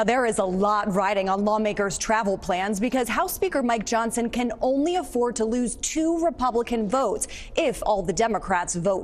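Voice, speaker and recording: An American woman reports live on politics. Her voice sits fairly high and she speaks in a news correspondent's style.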